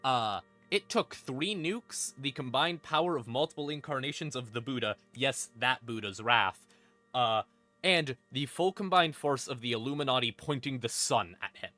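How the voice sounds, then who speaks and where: A man's voice: medium pitch, expressive, normal speed, bright, forceful tone, no room sound. A man casually explaining lore as a streamer, irreverent and sweary, with wry asides. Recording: eerie ambient music behind voice. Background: creepy ambient music.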